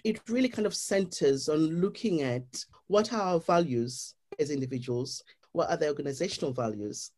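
A guest with a Zimbabwean accent speaks in an earnest, explanatory way, laying out ideas one stage at a time. The pitch sits in a middle range, and the talk is steady and sincere. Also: normal speed. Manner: earnest, explanatory, with frequent "you know". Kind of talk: reflective interview talk.

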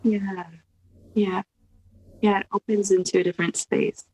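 A woman on a video call gently guiding a mantra meditation in a soft, slow, soothing way. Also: medium pitch, level tone, breathy edge, low-quality recording. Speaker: guest leading a mantra exercise.

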